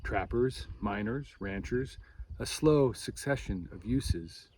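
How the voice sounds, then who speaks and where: Slow, deliberate man reading poetry outdoors. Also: medium pitch, lively intonation, a little mellow. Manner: measured, deliberate phrasing with pauses. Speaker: poet. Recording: clear outdoor background noise.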